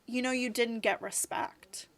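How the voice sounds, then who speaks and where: A woman's voice: a little high, fairly even intonation, measured pace, fairly bright tone. Chatty woman in her thirties. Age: 30s. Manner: chatty, rambling, fills with "like" and "you know". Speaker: guest. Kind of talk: casual interview chat.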